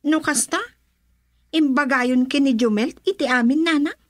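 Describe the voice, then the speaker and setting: A woman's voice: sits high, moderate pace, dry recording, studio quality, lightly acted. An actress playing a blunt, practical young woman in a radio drama, insistent and with a Filipino accent. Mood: defiant. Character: practical, blunt young daughter leaving her sweetheart for money. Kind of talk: Ilocano radio drama acting.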